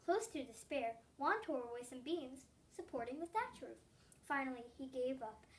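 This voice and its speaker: A young schoolgirl narrates a storybook in a high, childlike voice. She reads with expression and now and then trips on a word. The microphone sits a little away from her. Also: normal speed, recorded from a distance, a little hiss or hum. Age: child, about eight or nine (3rd grader). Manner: expressive reading with occasional stumbles. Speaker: young student reading a storybook aloud. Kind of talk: read-aloud story narration.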